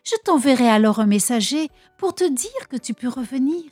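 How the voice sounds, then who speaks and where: A woman's voice: high-pitched, animated, normal speed, darkish tone, no echo, faint music behind, pitched above the performer's own voice. A woman voicing an anxious, protective mother speaking urgently in a storybook tale. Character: anxious protective mother Rebecca urging her son.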